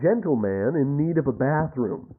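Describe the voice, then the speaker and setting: A man's voice: mid-range voice, animated, normal speed, narrow, tinny audio, very noisy, lightly acted. A man playing a slick, condescending door-to-door salesman in an old-time radio comedy. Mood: slick, insulting. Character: smooth-talking, pushy, condescending conman door-to-door salesman.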